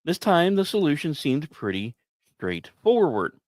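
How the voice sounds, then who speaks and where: A man hosting a podcast, delivering scripted storytelling narration with dramatic emphasis and deliberate pauses. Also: a little high, big pitch swings, no echo. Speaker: podcast host.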